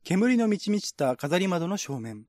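A man's voice: high-pitched, very animated, normal speed, darkish tone, no echo, studio quality. A man reading scripted narration in a radio-drama style, as the narrator of a screenplay.

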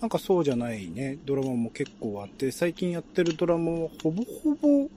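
A man hosting a relaxed talk show in a casual, conversational way. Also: on the high side, a little fast, slight background noise.

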